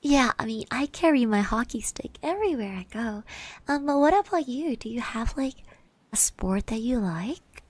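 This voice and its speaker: A woman voicing a shy, sweet, chatty girl classmate in a soft-spoken, hesitant ASMR roleplay. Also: on the high side, animated, moderate pace, dry recording. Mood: gentle interest. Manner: hesitant, gentle, refers to herself in third person.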